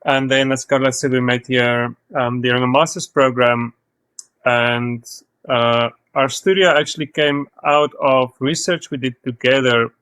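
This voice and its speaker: A man's voice: mid-range voice, fairly even intonation, unhurried, fairly bright tone, clean tone, no room sound. A man in his thirties with a South African accent, talking as a guest in a podcast interview, hesitant, with many fillers and restarts. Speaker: guest, studio co-founder.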